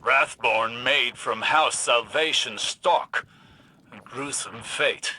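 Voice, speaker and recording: An actor playing a grave, vigilant scholar-warrior mentor in a video game, solemn and formal. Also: male voice, mid-range voice, takes it slowly, bright, forceful tone, slight background noise. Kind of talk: scripted video game acting.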